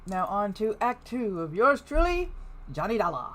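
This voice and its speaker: A woman with a medium-pitched voice hosts a livestream. She chats casually and throws in informal, wry commentary. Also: big pitch swings, normal speed, fairly bright tone. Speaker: livestream host.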